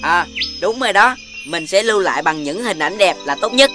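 An actor voices a mild young cartoon boy with a middling pitch. He sounds hesitant and sheepish, with loud music behind him. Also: lively intonation, fairly quick, close to an everyday voice. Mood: apologetic. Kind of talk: children's cartoon voice acting.

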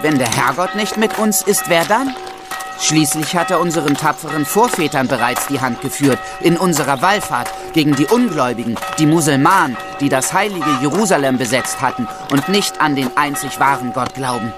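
Animated young squire played by a man. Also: on the high side, normal speed, over loud music, lightly acted. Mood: respectful, earnest. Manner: explanatory, enumerating. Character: eager, proud young medieval squire guiding visiting children. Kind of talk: children's audio drama acting.

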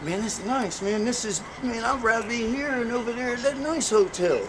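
A man's voice: very high-pitched, moderate pace, background noise. A man in a casual street interview who tells his story in an earnest, preaching way, filling his talk with "you know.".

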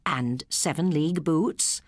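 An English woman voicing an eager, rambling male schoolteacher in a storybook, deliberate and lecturing. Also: deep voice, dry recording, clean studio sound.

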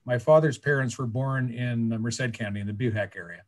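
A man's voice: medium pitch, a little fast. A man in his sixties from California, telling his story in a casual, plainspoken way with wry asides, speaking into a webcam from a small office. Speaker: guest panelist. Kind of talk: casual storytelling on a video call. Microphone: video call webcam.

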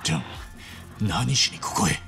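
A man playing a gruff, hot-blooded but loyal yakuza hero in a Japanese video game cutscene, talking roughly and expressively. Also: fairly low pitch, very animated, normal speed, pushed and bright, soft background music, heavily acted. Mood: earnest.

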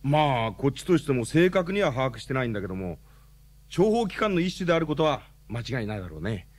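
An actor playing a talkative, excitable young man in a radio drama, knowing, protective and a little exasperated. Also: mid-range voice, fast talker. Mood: explanatory.

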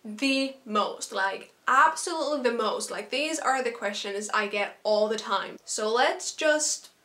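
A young woman with a Finnish accent talks straight to a camera set close in front of her in a small bedroom. Her voice sits a little high, and her manner is chatty and earnest. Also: unhurried, fairly bright tone. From Finland. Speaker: YouTuber. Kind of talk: casual talk to camera. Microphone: camera in front at close range.

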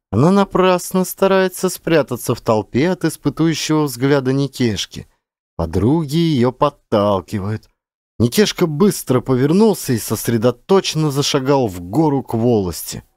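A man's voice: mid-range voice, darkish tone, no echo, studio quality. A man giving a dramatic, scripted narration of literary fiction as an actor.

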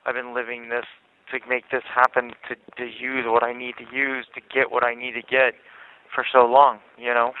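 A man in a casual, personal phone conversation, talking haltingly and repeating himself. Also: medium pitch, monotone, clean tone. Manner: repetitive, halting, swears casually. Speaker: jail inmate calling his mother. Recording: noisy, tinny jail phone line.

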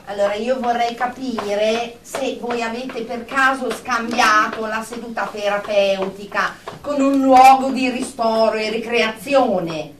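Radio comedy: actress as icy, exasperated woman therapist, formal and sarcastic, very measured, distant in a roomy space. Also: fairly high pitch, noisy background. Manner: icily formal, sarcastic, deliberate. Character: stern, exasperated female group therapist. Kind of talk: comic radio drama dialogue. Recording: echoey room, distant mic.

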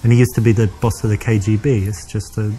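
A man's voice: fairly low pitch, flat delivery, fast talker, mellow. Cocky English man with a London accent. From England. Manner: brash, cocky and blustering. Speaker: actor in a dating sim, also explaining the lesson. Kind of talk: scripted acted dialogue, with coaching asides.

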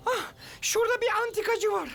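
A woman voicing an excitable, goofy little olive child in a children's cartoon, eager and playful, repeating words in bursts. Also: extremely high pitch, fairly quick, gravelly, over loud music.

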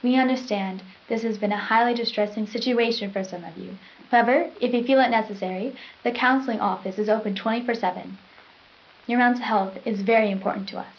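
Woman acting a high-voiced chipper school news anchor. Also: steady tone, brisk, natural voice. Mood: reassuring. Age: young adult. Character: chipper, eerily reassuring teenage female school news anchor. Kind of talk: scripted school news presenting, acted. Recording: dull lo-fi 1990s VHS sound.

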